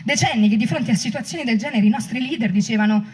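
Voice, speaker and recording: Dark, husky woman reading testimony. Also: a little high, fast. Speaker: performer reading book extracts. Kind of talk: dramatic reading of first-person testimony.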